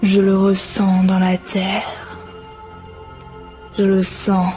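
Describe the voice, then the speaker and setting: A woman playing a solemn, wistful narrator of an ancient epic, measured, in a film prologue dub. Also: mid-range voice, monotone, warm tone. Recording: music under the voice.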